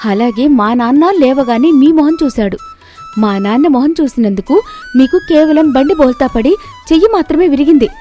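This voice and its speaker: A woman voicing a bold, sharp-witted ten-year-old village girl in a story, direct and challenging. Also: sits high, expressive, normal speed, soft background music, voice pushed up. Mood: bold, indignant.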